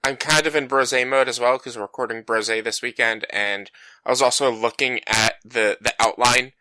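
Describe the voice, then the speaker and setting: Dude cohosting a gaming podcast, super bright voice, rambles with lots of uhs, dry close mic. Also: male voice, fairly even intonation, moderate pace, no echo, low-quality recording. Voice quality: bassy voice. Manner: rambling, self-deprecating, lots of fillers. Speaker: podcast co-host. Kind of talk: casual chat. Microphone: home podcast mic.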